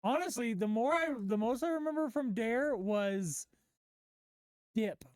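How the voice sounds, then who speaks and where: A woman's voice: on the high side, expressive, a little slow, darkish tone, smooth, no echo. An American woman chatting casually as a podcast co-host, blunt and slangy, swearing often. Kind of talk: casual chat.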